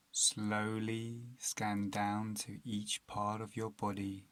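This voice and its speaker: A man with a lowish voice leads a guided meditation very slowly. His delivery is calm and soothing, with an even tone and long pauses between phrases. The sound is close and dry. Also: no echo.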